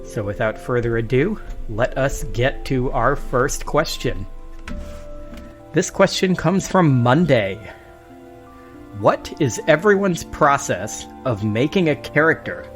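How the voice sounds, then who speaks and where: A man with a medium-pitched voice hosts a casual podcast discussion. He speaks to listeners as the one running the show. Music plays behind him. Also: very animated, slow. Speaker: podcast host.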